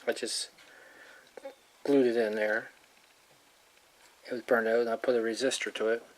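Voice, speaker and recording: A person talking casually and informally while showing hands-on work, rambling and trailing off mid-thought, with a medium-pitched voice. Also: little pitch movement, normal speed. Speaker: hobbyist showing a radio repair. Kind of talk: casual hands-on video narration. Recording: clearly noisy amateur handheld recording.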